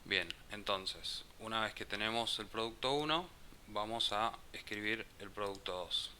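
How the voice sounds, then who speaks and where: A man with a low voice and a very bright, forceful tone teaches programming. He talks slowly and haltingly, restarting phrases as he works through code. A faint hiss sits under the voice. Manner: slow, halting, thinks aloud with restarts. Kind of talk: live coding class explanation.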